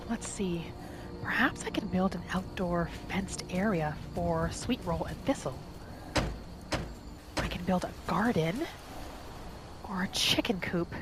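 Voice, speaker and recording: Gently musing woman over music. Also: medium pitch. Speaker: roleplay gaming host. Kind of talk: in-character storytelling game narration. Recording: music behind the voice. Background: background music.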